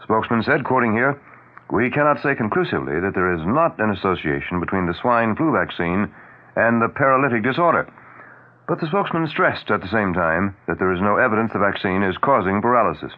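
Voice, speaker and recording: Male American newscaster: lively intonation, clipped scripted read, narrow-band vintage radio. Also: fairly low pitch, fairly quick. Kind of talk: clipped headline news reading.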